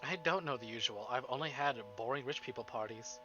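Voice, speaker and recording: A man streaming a game, giving excitable, gushing live commentary on it. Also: mid-range voice, brisk. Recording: slightly dull stream audio, music behind. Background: game music.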